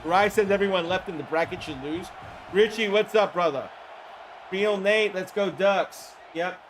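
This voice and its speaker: A man calling a simulated football game on a live stream, hoarse from the flu, chatting with viewers and breaking into excited shouts on big plays. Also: sits high, unhurried, a little hiss or hum. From Florida. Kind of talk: live-stream play-by-play commentary.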